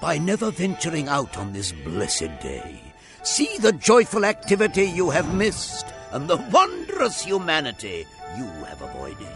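A jolly giant spirit in a radio play, grand and commanding. His delivery is slow and declamatory, swinging widely in pitch, with music behind him. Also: huge pitch swings, exaggerated voice. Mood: grand, exuberant. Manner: hearty, declamatory. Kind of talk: radio drama acting.

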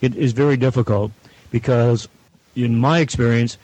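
A man speaking as a guest producer, explaining his work in measured, deliberate phrases. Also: medium pitch, fairly even intonation, darkish tone, rough recording. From Canada. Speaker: audio producer and director, guest. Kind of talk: explanatory interview talk.

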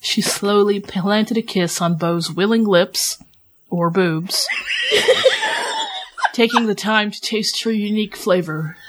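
A woman's voice: mid-range voice, takes it slowly, long pauses, slight background noise, low-quality recording. A woman co-hosting a podcast, playful and giggly as she chats casually and reads fan fiction. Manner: playful, giggly, breaks up while reading.

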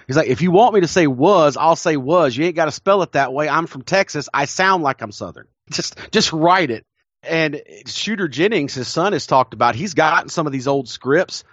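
A man's voice: on the high side, lively intonation, moderate pace, a bit bright, no echo. Enthusiastic man telling stories, lo-fi sound. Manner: enthusiastic storytelling, frequent restarts, laughs often. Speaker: podcast co-host. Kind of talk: casual chat. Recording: dull lo-fi dry recording.

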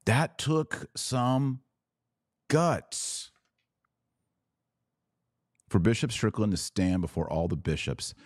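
A man's voice: on the low side, sing-song, slow pace, long pauses, dry recording, clean studio sound. A man hosting a religious commentary podcast, speaking emphatically with rhetorical questions and repeated phrases for stress. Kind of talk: impassioned religious commentary to camera.

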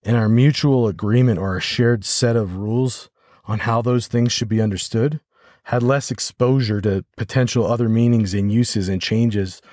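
An American man hosting a podcast solo, talking reflectively and haltingly as he thinks aloud. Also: fairly low pitch, darkish tone, dry recording, studio quality. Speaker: podcast host.

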